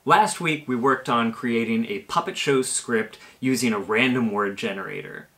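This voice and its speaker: A man's voice: medium pitch, normal speed, fairly bright tone. A man, presenting a conversational how-to video in a home kitchen. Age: 30s. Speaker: puppetry presenter.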